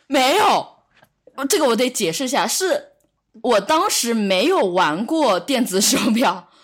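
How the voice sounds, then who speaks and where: A woman chatting casually on a podcast, explaining herself haltingly with repeated words. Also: a little high, very animated. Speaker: podcast speaker. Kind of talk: casual chat.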